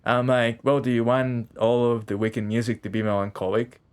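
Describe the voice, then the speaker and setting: A man's voice: mid-range voice, level tone, normal speed, clean tone. A man chatting casually on a game live stream, rambling and repeating phrases as he thinks aloud.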